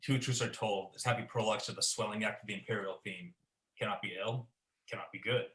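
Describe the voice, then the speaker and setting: Man as troubled, ambitious warrior lord, forceful Shakespearean radio acting in an echoey room. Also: on the low side, fairly even intonation, a little fast, natural voice. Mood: troubled brooding. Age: young adult. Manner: brooding, theatrical verse delivery.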